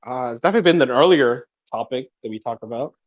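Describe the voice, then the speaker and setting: A man's voice: medium pitch, animated, fast, lo-fi sound. A young man co-hosting a podcast in casual chat, teasing and laughing often, with a slightly sore throat. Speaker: podcast co-host.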